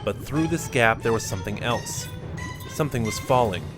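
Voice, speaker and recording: A man narrating a fan-made audio drama in a steady storytelling manner. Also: medium pitch, moderate pace. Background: music.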